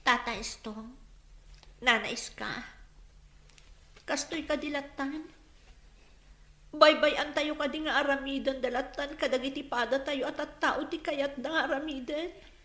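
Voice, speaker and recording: A bereaved, resolute daughter in a radio melodrama, with a very high voice and Ilocano Filipino accent. She speaks slowly and plaintively in an echoey space over music. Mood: earnest, troubled. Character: grieving, resolute daughter of a slain village leader. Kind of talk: melodramatic radio drama acting. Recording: echoey studio, loud music bed.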